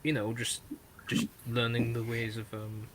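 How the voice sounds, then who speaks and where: A man's voice: medium pitch, monotone, measured pace, background noise. A man with a Northern English accent, hosting a casual podcast and rambling with lots of fillers and restarts. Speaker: podcast host.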